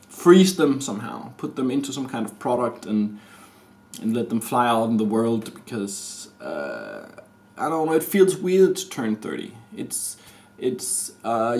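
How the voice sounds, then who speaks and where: A man's voice: medium pitch, a little mellow. A man of thirty with a Danish accent, talking to his camera in a hesitant, rambling personal confession full of restarts. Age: 30s. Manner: hesitant, halting, many restarts and fillers. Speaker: vlogger. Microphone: camera mic.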